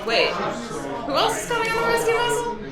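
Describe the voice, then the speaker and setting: A woman's voice: extremely high pitch, very animated, normal speed. A woman playing a tabletop game live, bantering casually with the group. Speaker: player in a tabletop RPG. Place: live event hall. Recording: echoey live hall with crowd noise. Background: crowd.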